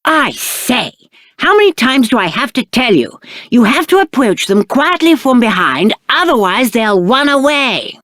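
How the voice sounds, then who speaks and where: A man's voice: extremely high pitch, big pitch swings, a little slow, fairly bright tone, dry recording, studio quality, exaggerated voice. A male actor playing a spoiled, cowardly young prince in a video game, with a British accent and haughty, whiny, aristocratic diction. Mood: exasperated, condescending. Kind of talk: theatrical video game character acting.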